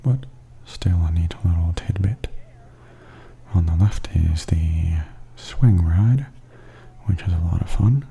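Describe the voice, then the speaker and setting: A man giving softly spoken ASMR tour commentary in a hushed, breathy half-whisper. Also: low voice, takes it slowly, a little hiss or hum. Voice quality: soft, breathy. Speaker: host of ASMR walking tour videos.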